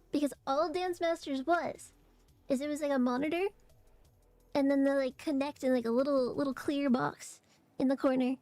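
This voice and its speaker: A young woman streamer chatting casually and rambling with fillers, her voice a little hoarse and raspy from allergies. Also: high voice, darkish tone, no room sound. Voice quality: raspy, phlegmy throat. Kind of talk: casual chat to stream viewers.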